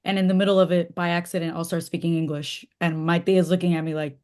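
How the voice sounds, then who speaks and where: A woman's voice: on the low side, fast talker. A woman whose first language is Spanish, talking casually as an author guest over a video call, with frequent fillers. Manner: relaxed, chatty with frequent fillers. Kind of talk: casual interview talk.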